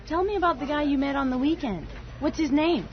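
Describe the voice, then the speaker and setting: A woman's voice: sits high, brisk, slight background noise, natural voice. A woman acting a curious, friendly coworker in a scripted listening dialogue. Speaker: dialogue actor. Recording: dull lo-fi audio.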